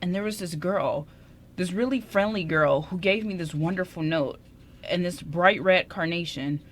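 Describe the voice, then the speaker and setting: Woman playing a low-pitched, bashful young man. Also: normal speed, natural voice. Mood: earnest, bashful. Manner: hesitant, stammering. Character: shy, smitten, deaf young man, sensitive musician. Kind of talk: amateur radio drama script reading.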